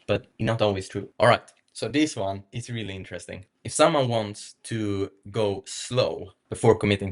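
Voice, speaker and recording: Man cohost, lowish voice, blunt and emphatic, english not his first language. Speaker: podcast co-host. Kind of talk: casual conversation about dating.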